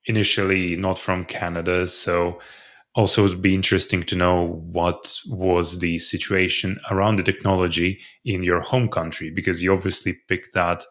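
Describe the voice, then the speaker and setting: Very deep-voiced male podcast host. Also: level tone, normal speed, a bit bright. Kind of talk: casual interview chat.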